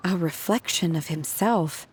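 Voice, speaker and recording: A woman playing a solemn, reserved young woman in a video game, with a grave, earnest, measured delivery. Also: on the low side, a little mellow, slight background noise, close to an everyday voice, low-quality recording. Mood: wistful.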